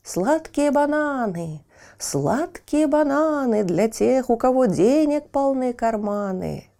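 A woman's voice: sits high, lively intonation, slow pace, gravelly, warm tone, voice pushed up, full character voice. A woman voicing a singsong bazaar hawker touting wares in a children's fairy tale.

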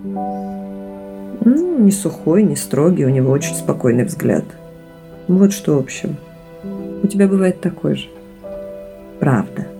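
An actress playing a caring, soft-hearted woman in an audio drama, conversational and emotional. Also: sits low, sing-song, slow, gravelly, pauses often, over music, full character voice. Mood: tender, thoughtful. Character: caring, tender female companion of the heir.

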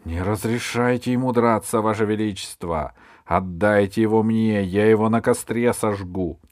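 A man voicing a vindictive, fanatical bishop from a storybook, shrill and indignant. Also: very animated, measured pace, fairly bright tone, no echo, studio quality, heavily acted. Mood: vindictive, insistent. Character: fanatical, vindictive male bishop, eager to burn people.

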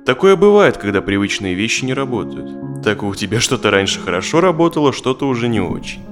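A man's voice: medium pitch, sing-song, a little fast, natural voice. Casting: male actor as a talking door or floor, confronting and matter-of-fact, audio-play delivery over music. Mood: resigned, confronting. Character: talking door and floor, blunt bearers of hard truths. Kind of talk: audio drama role-play.

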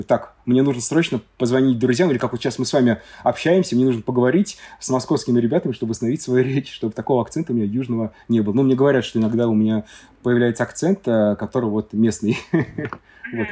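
A man from Moscow chats on a podcast in a middle-pitched voice, telling his stories with lots of ums and pauses. He speaks in a casual, conversational way. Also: dark tone. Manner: storytelling with many hesitations. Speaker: podcast guest. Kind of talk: casual chat.

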